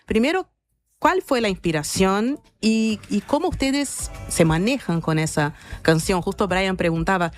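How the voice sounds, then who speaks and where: A woman's voice: medium pitch, lively intonation, unhurried. Woman hosting over faint music. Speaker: show host. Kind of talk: conversational radio interview.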